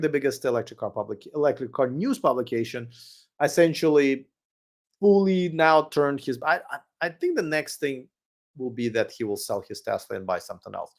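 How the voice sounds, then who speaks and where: A man hosting a casual live stream, reading viewer questions and answering them in a rambling way with many fillers and restarts, his voice going hoarse. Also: medium pitch. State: losing his voice.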